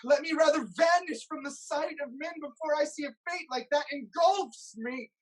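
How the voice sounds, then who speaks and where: A performer playing a proud, anguished king haunted by prophecy, in a grave, deliberate dramatic reading of Greek tragedy. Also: high-pitched, close to an everyday voice. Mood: anguished, horrified.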